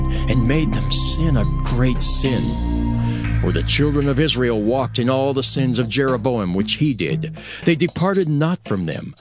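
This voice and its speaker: A man narrating dramatized scripture in a solemn, declamatory storytelling manner. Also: medium pitch.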